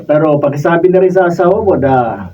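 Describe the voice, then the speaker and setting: Man playing a high-pitched chatty shopkeeper. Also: expressive, fairly quick, darkish tone, natural voice. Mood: friendly, chatty. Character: chatty, obliging male shopkeeper. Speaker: radio drama actor. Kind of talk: Filipino radio drama acting. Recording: distant muffled mics, noisy raw studio video.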